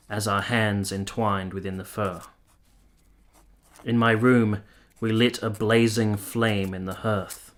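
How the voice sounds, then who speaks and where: An actor playing a wistful nineteenth-century gentleman lover in a radio play, speaking in formal, literary phrases. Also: on the low side. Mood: tender, wistful. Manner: literary, lingering, formal storytelling. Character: romantic, wistful 19th-century Italian gentleman lover recalling his affair. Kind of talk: radio play acting and first-person narration.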